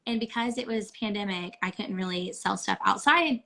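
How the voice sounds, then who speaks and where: Female guest, 30s, hoarse cracking voice, mid pitch, bright, easygoing. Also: moderate pace. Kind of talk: casual interview chat.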